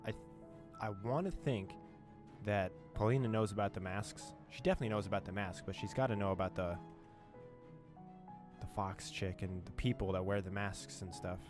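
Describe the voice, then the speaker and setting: A man with a low voice hosts a video game playthrough. He moves between reading story text aloud and casual, slangy commentary, with faint music behind him. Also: normal speed. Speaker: gaming channel host.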